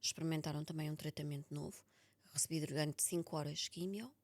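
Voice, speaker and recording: A woman with a European Portuguese accent and a slightly low voice talks in a personal, reflective interview. She is candid and emphatic, repeating key words to drive them home. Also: steady tone, brisk, a little mellow. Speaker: podcast author being interviewed.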